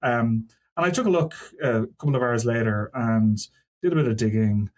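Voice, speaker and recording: A man's voice: medium pitch, moderate pace, a little mellow. A man speaking as a podcast guest and senior engineer, explaining technical work in an informal, discursive way with frequent asides. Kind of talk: casual technical interview talk.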